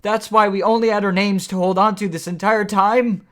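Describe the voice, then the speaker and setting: A man's voice: high voice, steady tone, normal speed, fairly bright tone, voice pushed up. Man reading as a defiant, blunt rebel leader. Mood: shocked. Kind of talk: audiobook character voice.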